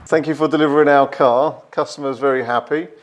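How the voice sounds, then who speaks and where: Man, vlog host, mid-pitched, friendly, conversational. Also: steady tone, a little fast, lo-fi sound. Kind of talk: casual interview chat.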